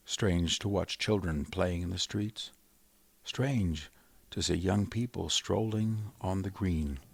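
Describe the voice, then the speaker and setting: An actor narrating as a solemn professor in a 1930s radio drama, measured and reflective. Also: male voice, sits low, creaky, husky, dry recording, exaggerated voice. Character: grave middle-aged male professor, survivor. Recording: vintage 1930s radio broadcast.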